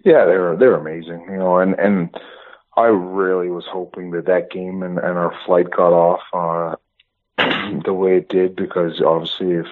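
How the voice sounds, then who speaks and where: A man's voice: low-pitched, little pitch movement, moderate pace. A man, a hockey coach, being interviewed over the phone, talking in long rambling runs with many restarts. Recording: tinny phone line.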